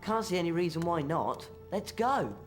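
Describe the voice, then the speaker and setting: A man's voice: fairly high pitch, expressive, moderate pace, soft background music, lightly acted. A male actor playing an earnest, eager schoolboy wizard hero in a video game, speaking in a keen, straightforward way. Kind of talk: video game cutscene acting.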